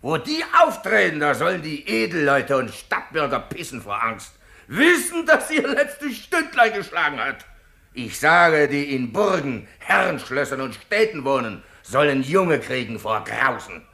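An actor playing a cruel, bombastic rebel captain in a theatrical radio play, with a bellowing, pompous, menacing delivery. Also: male voice, a little high, sing-song, a little slow, vocal fry, pushed and bright, full character voice. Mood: bombastic, gloating.

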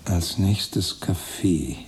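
An actor playing an obsessive, meticulous writer in a radio drama, listing and calculating with methodical precision. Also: male voice, low-pitched, lively intonation, drawn-out words, darkish tone, full character voice, rough recording. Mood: driven. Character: obsessive man, a writer, meticulously planning his austere seclusion. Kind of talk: radio drama monologue, German.

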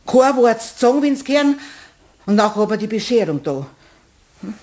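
A hard-working Bavarian cleaning woman in a radio play. Her voice is fairly high, and she exclaims, grumbles and repeats herself in a flustered mutter. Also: very animated, normal speed, a little mellow, a little hiss or hum. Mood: indignant, flustered. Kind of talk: German radio play acting.

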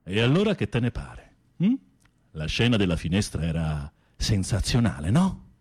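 A campy, low-voiced theatrical inmate. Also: male voice, huge pitch swings, moderate pace, darkish tone, slightly rough, full character voice. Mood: teasing. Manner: florid, campy, teasing storytelling. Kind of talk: radio play acting.

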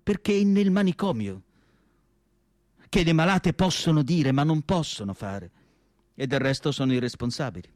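A man playing a melancholy asylum doctor in radio theatre, narrating in an emphatic literary monologue with dramatic pauses. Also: a little high, lively intonation, a little mellow, dry recording, studio quality, natural voice. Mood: grave, intense. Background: music.

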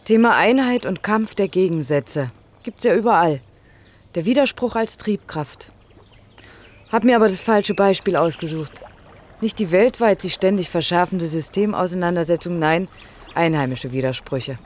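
A woman's voice: on the low side, slight background noise, natural voice. An actress playing a cheeky, outspoken young woman in her early twenties, teasing and ironic, in a naturalistic German radio drama. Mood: ironic, frank. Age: young adult. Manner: teasing, ironic, quick-witted.

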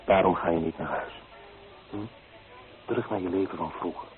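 A man's voice: low voice, steady tone, normal speed, soft background music, natural voice. An actor playing a kindly, fatherly newspaper writer in a radio play, reassuring and paternal in manner. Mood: sympathetic. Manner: reassuring, paternal, murmured hms. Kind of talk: Dutch radio play acting. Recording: thin, phone-like old radio recording.

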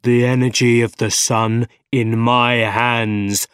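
Brooding, obsessive scientist villain , played by a male reader: very slow, heavy, dramatic storybook delivery. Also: medium pitch, little pitch movement, fairly bright tone, clear, resonant tone, no echo, clean studio sound. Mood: grim, obsessed.

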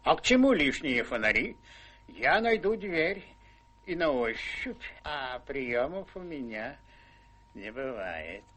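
An actor playing a miserly, crude old country baronet in a radio play, with a sly, teasing, drawling manner. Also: male voice, medium pitch, very animated, takes it slowly, a bit bright, husky, exaggerated voice. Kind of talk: theatrical radio play acting.

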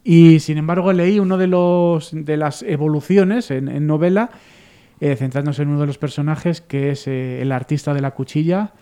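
Smooth, mellow-voiced radio co-host man. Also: fairly high pitch. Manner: enthusiastic, halting with fillers. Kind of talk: casual radio chat recommending books.

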